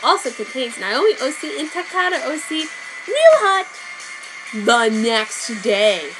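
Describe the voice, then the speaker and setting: A woman reading a fan story aloud, deadpan and mock-serious. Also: high-pitched, a little slow, poor audio. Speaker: reader. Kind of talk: dramatic fanfic reading. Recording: background music. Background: soundtrack music.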